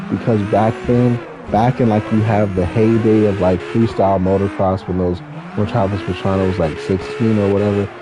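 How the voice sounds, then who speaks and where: A man, telling stories in a casual, rambling, slangy way with some swearing. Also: sits low. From California, United States. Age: 30s. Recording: noisy, with car engine sounds behind. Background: racing game car engines.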